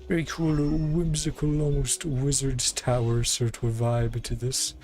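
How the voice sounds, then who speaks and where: A man's voice: medium pitch, flat delivery, a little slow, a little mellow. A man giving casual gameplay commentary, thinking aloud in short, musing fragments. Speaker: gamer commenting on own playthrough. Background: game music.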